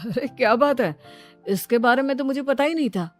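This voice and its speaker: A woman playing a casual, fairly high-voiced young husband. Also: a little fast, faint music behind, lightly acted. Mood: casual, surprised. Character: easygoing young husband, tired office worker. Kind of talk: Hindi cartoon story acting.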